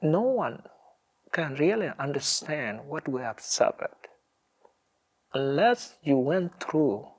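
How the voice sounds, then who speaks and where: A man speaking slowly and gravely as an interviewee in a documentary. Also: on the high side, animated.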